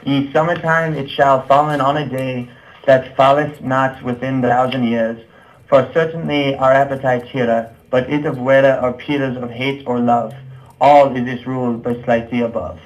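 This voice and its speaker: A man's voice: mid-range voice, steady tone, normal speed. A man reading archaic verse aloud for a puppet show, stumbling over the old words. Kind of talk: halting read-aloud of Middle English verse. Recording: dull, muffled lo-fi sound.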